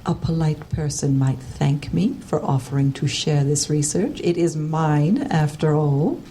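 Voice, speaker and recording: A woman's voice: low-pitched, a little slow, warm tone. A woman playing a prickly, condescending, no-nonsense researcher in an audio drama, with a haughty, dismissive manner. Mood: testy, dismissive.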